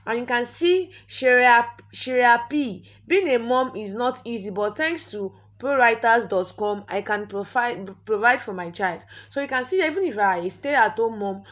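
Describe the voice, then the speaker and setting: A woman's voice: a little high, expressive, normal speed, few pauses, a bit bright. A young Nigerian woman, a native Yoruba speaker, talking through an online sign-up form step by step in a chatty way, often repeating words and restarting. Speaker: vlogger. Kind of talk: screen-recorded tutorial walkthrough.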